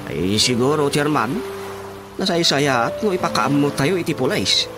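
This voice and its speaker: A man playing a lively Ilocano village watchman. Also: on the high side, moderate pace, lightly acted. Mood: serious. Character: village watchman reporting to chairman. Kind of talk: radio drama acting. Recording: radio drama with loud music behind.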